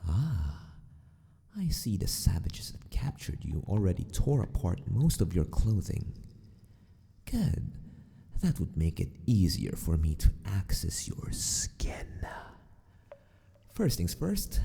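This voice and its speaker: A man playing a sadistic, possessive mad scientist in an ASMR roleplay, mock-polite and menacing, often sinking into a breathy whisper. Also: low-pitched, big pitch swings, slow, warm tone, exaggerated voice.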